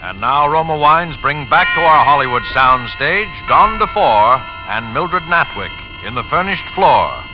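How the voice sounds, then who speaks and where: A man's voice: fairly high pitch, animated, unhurried, hardly any pauses. A man as announcer, dramatically introducing a 1940s radio drama. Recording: muffled old radio recording under music.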